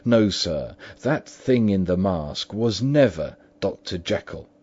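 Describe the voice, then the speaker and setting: A man's voice: deep voice, animated, takes it slowly, darkish tone, pitched above the performer's own voice, exaggerated voice. A man voicing a frightened loyal butler. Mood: urgent. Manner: urgent, pleading, emphatic. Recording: dull lo-fi recording.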